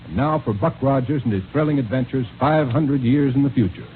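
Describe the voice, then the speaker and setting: A man's voice: medium pitch, fairly quick. A man with an American accent reading scripted announcements and narration in 1930s radio style. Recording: tinny 1930s radio recording, faint noise.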